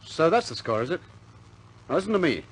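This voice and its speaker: A man's voice: fairly high pitch, very animated, fairly quick, slightly rough, poor audio. An actor playing a plucky, stubborn young RAF bomber airman in a 1950s British war film, blunt and informal in manner. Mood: firm, reassuring.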